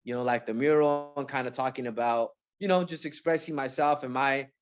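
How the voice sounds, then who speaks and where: A man from Mexico and Central California, a rapper, chatting casually in an interview with a halting, repetitive delivery full of filler phrases. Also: mid-range voice, muffled recording. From born in Mexico, grew up in Central California. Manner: halting, repeats words, frequent "you know" and "like".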